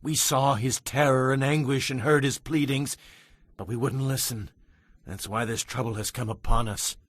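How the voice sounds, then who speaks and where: A man's voice: medium pitch, fairly even intonation, normal speed, no echo, natural voice. A man playing an anxious, humble brother from Canaan, pleading earnestly in a dramatized audio Bible. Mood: remorseful.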